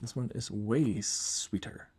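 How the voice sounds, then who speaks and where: A man's voice: lowish voice, slow pace, darkish tone, husky. A man giving casual, unscripted product commentary, thinking aloud in short, informal remarks as a reviewer.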